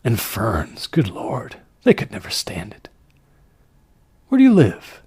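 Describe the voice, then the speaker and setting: A man's voice: on the low side, wildly swooping pitch, takes it slowly, a little mellow, breathy edge, no echo, clean studio sound, exaggerated voice. Man acting an earnest, lilting village stonemason. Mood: chatty, earnest. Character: earnest, plain-spoken male village stonemason, proud and chatty.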